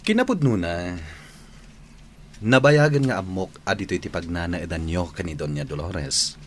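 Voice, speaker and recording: Repentant suitor, deep voice, lively intonation, imploring, Ilocano accent, radio soap. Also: male voice, normal speed, slight background noise. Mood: remorseful, earnest. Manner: earnest, imploring. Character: repentant, pleading male suitor seeking forgiveness from wary woman. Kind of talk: Ilocano radio drama acting.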